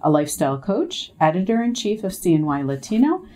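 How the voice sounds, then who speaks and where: Low-pitched female interview host. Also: big pitch swings, brisk, dark tone. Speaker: interview host, business news executive. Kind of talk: conversational business interview hosting.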